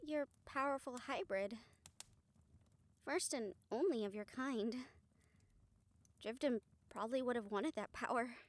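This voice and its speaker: A woman playing a gentle, supportive rehabilitator comforting a friend in an intimate audio roleplay, speaking softly, earnestly and a little hesitantly. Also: on the high side, measured pace, pauses often, natural voice. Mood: earnest, reassuring. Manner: soft, hesitant, reassuring, earnest.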